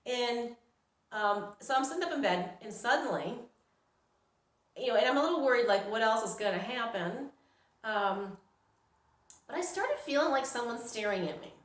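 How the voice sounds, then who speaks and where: A woman's voice: fairly high pitch, expressive, moderate pace, pauses often. A middle-aged woman from New York tells a personal story casually and dramatically, acting out her reactions. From New York, United States. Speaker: storyteller, vlogger. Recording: roomy, echoing sound.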